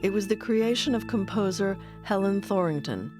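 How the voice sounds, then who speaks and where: A woman's voice: mid-range voice, lively intonation, normal speed, over music. A woman delivering scripted narration as the narrator of a documentary.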